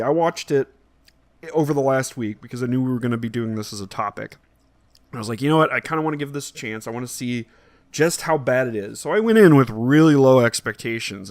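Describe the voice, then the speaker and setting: A man's voice: medium pitch, dry recording. A man co-hosting a podcast, chatting casually and informally in a somewhat hesitant, rambling way. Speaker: podcast co-host. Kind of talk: casual chat about films and games.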